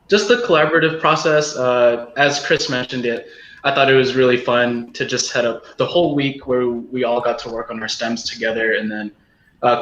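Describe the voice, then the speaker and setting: A man's voice: medium pitch, a bit bright, reverberant, dull audio. A man talking casually and a little hesitantly about teamwork on a sound project, over a video call. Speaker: audio drama sound team member.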